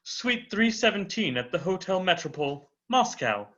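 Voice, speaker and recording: A man acts out an aristocratic Russian count facing his judges in a dramatic reading. His voice is bright and highly animated, with formal, flippant eloquence. The recording is dull and muffled. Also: normal speed, slight background noise. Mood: dryly haughty. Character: witty, unflappable aristocratic Russian count on trial.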